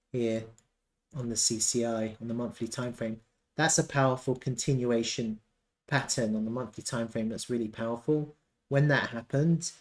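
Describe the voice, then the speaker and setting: A man's voice: mid-range voice, a little mellow. Man explaining charts on a livestream. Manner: explanatory, repeats points for emphasis, checks in with "okay". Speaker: live stream host and trading educator. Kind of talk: live chart analysis commentary.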